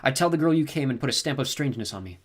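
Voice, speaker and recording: A man's voice: medium pitch, lively intonation, racing, breathy edge, pitched above the performer's own voice. A man voicing a serious, guarded teenage boy who speaks earnestly in an audiobook reading.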